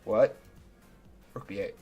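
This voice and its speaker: A man's voice: slow pace, dull audio. Chatty young man breaking down chess moves. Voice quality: slightly raspy. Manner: chatty and self-critical, thinking aloud move by move. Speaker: chess streamer. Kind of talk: casual live commentary reviewing his own game. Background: faint background music.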